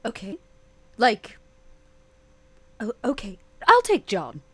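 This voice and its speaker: A woman playing a tabletop roleplaying game, casual and halting, often questioning as she talks in character. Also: fairly high pitch, huge pitch swings, slightly rough, dry recording.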